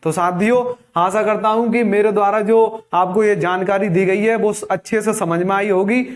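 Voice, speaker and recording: High-voiced man coaching exam aspirants. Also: steady tone, moderate pace. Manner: addresses viewers as friends, instructive, repeats key numbers. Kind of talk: explanatory exam guidance lecture.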